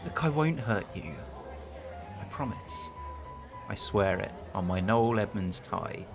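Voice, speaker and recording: A man's voice: on the low side, lively intonation, takes it slowly, vocal fry, soft background music, heavily acted. An actor playing an awkward, self-deprecating, buttoned-up single man with nerdy tastes in a naturalistic audio drama, hesitant and prone to stammering. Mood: earnest, tender.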